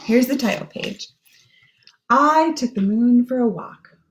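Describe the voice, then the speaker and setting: A woman in her thirties gently reading a children's picture book aloud and explaining the pictures as she goes. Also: fairly high pitch, unhurried, poor audio. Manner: gentle, explaining pictures between read lines. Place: small room at home.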